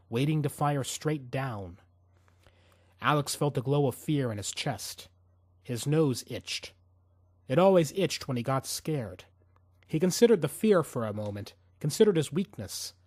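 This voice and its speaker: A man hosting a podcast and reading fiction from a script, earnest and measured, with deliberate phrasing. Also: medium pitch, darkish tone, dry recording, studio quality. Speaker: podcast host and story reader. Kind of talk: scripted commentary and story narration.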